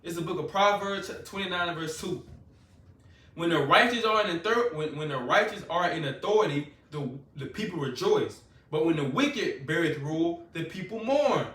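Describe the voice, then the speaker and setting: Man, preacher, fervent, repetitive, bright edgy voice, distant mic. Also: on the high side, animated, moderate pace. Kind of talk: scripture-reading sermon. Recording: echoey room, voice from a distance.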